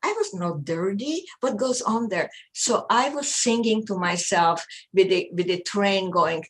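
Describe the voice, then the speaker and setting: An older woman whose first language is German, telling her life story in an interview, speaking haltingly and repeating words as she searches. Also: mid-range voice, expressive, noisy background. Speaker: interview guest, psychologist. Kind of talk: personal testimony in an interview.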